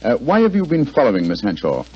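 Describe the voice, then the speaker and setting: An actor playing a brisk, courteous English detective with a clipped, urbane, authoritative delivery in 1940s radio mystery style. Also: male voice, medium pitch, wildly swooping pitch, slightly rough, soft background music. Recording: dull, lo-fi vintage radio recording.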